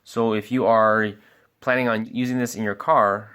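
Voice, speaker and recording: A man's voice: on the low side, level tone, moderate pace, fairly bright tone, clean tone. A man giving a casual product review and walkthrough as a sales expert, explaining things informally step by step.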